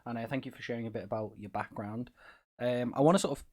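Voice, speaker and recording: A man's voice: medium pitch, fast, darkish tone. A man hosting a podcast, upbeat and chatty as he introduces his guest. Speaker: podcast host. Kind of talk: casual interview talk.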